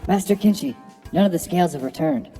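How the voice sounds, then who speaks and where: Woman as villain's servant, deferential, deep for a woman, heavy music underneath. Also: quick pace, close to an everyday voice. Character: dutiful servant of a villain reporting. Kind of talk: scripted audio drama acting.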